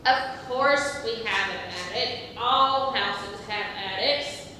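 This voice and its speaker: A woman playing a scatterbrained, well-meaning character in a community theatre comedy, with emphatic, drawn-out delivery. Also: sharp tone. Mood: helpful. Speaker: amateur stage actor. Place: theatre stage, distant microphone. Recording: echoey hall from far off, rumble.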